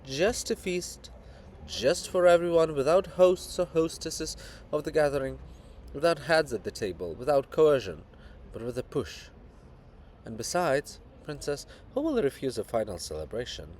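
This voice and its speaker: A man's voice: a little high, big pitch swings, normal speed, a little mellow, slight background noise. A man voicing a sly, grandiloquent poet monument, teasing and persuasive, with emphatic theatrical delivery in a dramatic reading.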